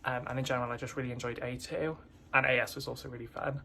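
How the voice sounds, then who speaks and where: A young man from Wales, a former student, talks enthusiastically about his course and rushes along quickly with plenty of ums. Faint ambient noise sits behind his voice. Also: mid-range voice, steady tone, vocal fry, bright, forceful tone. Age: young adult. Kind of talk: student testimonial.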